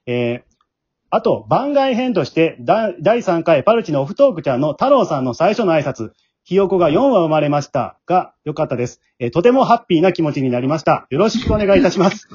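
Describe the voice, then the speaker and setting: A man hosts a relaxed group podcast over a slightly dull remote home recording. He talks quickly with a very animated pitch, slipping from polite announcements into chatty asides. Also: slightly rough. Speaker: host, leader of an amateur drama group. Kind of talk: hosting a casual podcast round-table.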